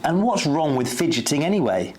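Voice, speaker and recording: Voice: mid-range voice, sing-song, brisk, darkish tone, a little hiss or hum, lightly acted. Reader doing a plaintive, fidgety little schoolgirl. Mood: indignant.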